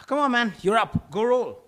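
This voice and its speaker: A man's voice: very high voice, big pitch swings, slow pace, fairly bright tone, full character voice. A man playing an exasperated, fretful team leader in a conversational style at a live comedy table read.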